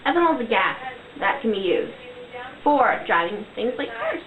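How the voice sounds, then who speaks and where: A cocky high school know-it-all, acted by a young woman in an amateur comedy skit, earnest and boastful as they ramble on. The voice is a bit high, recorded in a roomy space with narrow, tinny sound and chatter behind. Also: huge pitch swings, moderate pace. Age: young adult. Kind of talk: amateur comic skit interview. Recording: echoey, phone-like sound amid crowd chatter.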